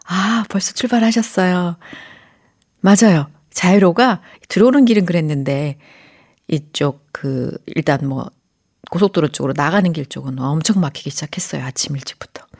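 Soft-spoken female radio DJ, gentle and chatty. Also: fairly low pitch, moderate pace, husky. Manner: soft, gentle and chatty, often drops to a whisper and laughs lightly. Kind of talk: radio show, poetry reading and reading listener texts. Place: radio studio.

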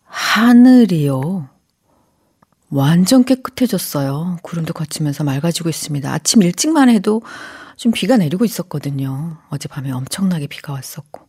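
Soft-spoken female radio DJ, gentle and chatty. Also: low voice, animated, normal speed, husky. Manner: soft, gentle and chatty, often drops to a whisper and laughs lightly. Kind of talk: radio show, poetry reading and reading listener texts. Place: radio studio.

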